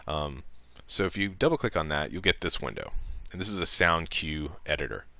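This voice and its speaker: A man giving a casual, improvised software tutorial, talking through each click as he goes. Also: extremely low pitch, animated, moderate pace, creaky, no echo. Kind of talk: casual step-by-step screen tutorial.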